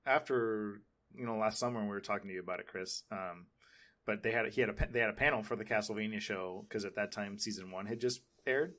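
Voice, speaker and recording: A man's voice: on the low side, fast talker, a bit bright. A man co-hosting a casual pop-culture podcast, talking like an enthusiastic fan with plenty of "like" and repeated phrases. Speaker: podcast co-host.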